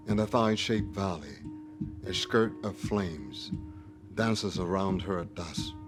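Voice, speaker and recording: A man giving a solemn, measured dramatic reading of poetry. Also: fairly low pitch.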